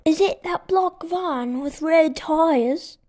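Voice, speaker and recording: Small child for a radio play: very high, eager, innocent. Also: female voice, takes it slowly, dark tone. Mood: meek. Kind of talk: amateur radio play acting.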